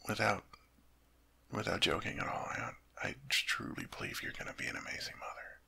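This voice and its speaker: A man playing a doting young king and husband who stammers and repeats himself when flustered and drops into soft, tender whispers. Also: on the low side, wildly swooping pitch, brisk, creaky, husky, heavily acted. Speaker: ASMR roleplay performer. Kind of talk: ASMR audio roleplay.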